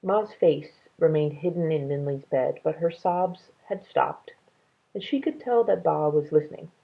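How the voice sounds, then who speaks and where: Slow, low, husky woman reading aloud. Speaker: read-aloud storyteller. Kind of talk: reading a children's novel aloud. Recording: band-limited, tinny sound.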